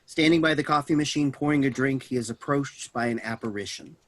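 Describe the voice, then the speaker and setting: A man's voice: mid-range voice, fairly quick. A man reading out stage directions as scripted narration in a play reading.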